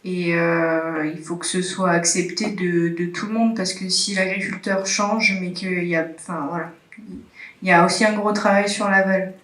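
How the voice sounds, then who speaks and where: Brief: woman pressing a point in a flat voice, distant and roomy. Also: fairly low pitch, moderate pace. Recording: roomy hall, distant mic.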